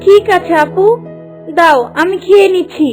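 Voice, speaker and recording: A woman's voice: very high-pitched, normal speed, soft background music. A woman voicing a sweet, innocent, obedient young princess in a cartoon fairy tale, with a girlish manner.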